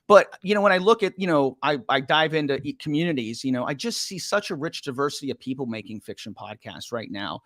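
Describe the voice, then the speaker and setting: An enthusiastic middle-aged man hosts a podcast chat, his voice mid-pitched. The sound is dry, with no room echo. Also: animated, quick pace, few pauses, a bit bright. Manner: enthusiastic, with restarts. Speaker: podcast host. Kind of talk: conversational interview hosting.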